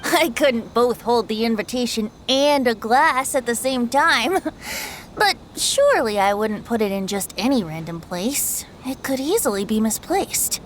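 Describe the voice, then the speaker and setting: A woman's voice: on the high side, expressive, a little slow, slight background noise. A woman voicing a carefree, playful boyish young bard with a light voice and theatrical manner in a video game. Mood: breezy, earnest. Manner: playful, theatrical, flowery phrasing. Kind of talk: animated game dialogue.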